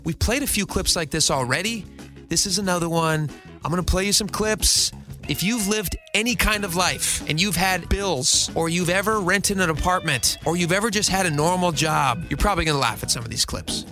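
Pria empat puluhan dengan suara serak kasar. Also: male voice, high-pitched, normal speed, bright, forceful tone, soft background music. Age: 40s. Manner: rambling, chatty, many fillers and restarts. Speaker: radio talk show host. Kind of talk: casual chat.

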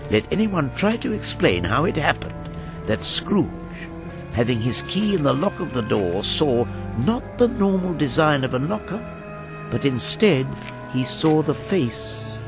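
A man narrating a radio play as a wry storyteller, in a deliberate, theatrical manner. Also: medium pitch, sing-song, music in the background. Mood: measured, suspenseful.

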